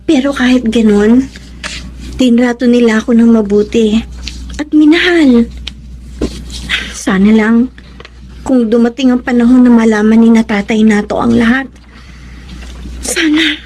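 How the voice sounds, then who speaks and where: An actress playing a gentle, guilt-ridden, self-sacrificing daughter in a Tagalog radio drama, speaking earnestly with a Filipino accent. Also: female voice, on the high side, a little slow, pauses often, a little hiss or hum, lightly acted. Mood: troubled, wistful.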